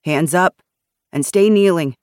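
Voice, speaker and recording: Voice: medium pitch, animated, brisk, no echo, studio quality, natural voice. A narrator voicing tense, armed male guards in an audiobook, barking clipped commands. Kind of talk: audiobook character dialogue.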